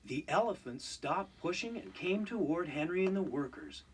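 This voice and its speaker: A man narrating a children's read-along storybook in an expressive, scripted storytelling style. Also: on the high side, moderate pace, breathy edge, far from the mic, poor audio.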